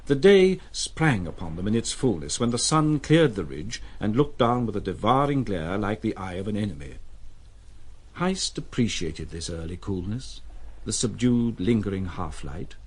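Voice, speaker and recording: A man's voice: on the low side, sing-song, normal speed, slightly rough. A man giving dramatic scripted narration of a literary story.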